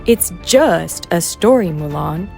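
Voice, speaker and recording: A woman's voice: fairly low pitch, expressive, takes it slowly, creaky, a little mellow, faint music behind, exaggerated voice. A woman voicing a small, boastful, easily miffed male dragon telling a tale from a children's storybook in a theatrical, self-important way. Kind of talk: children's storybook character reading.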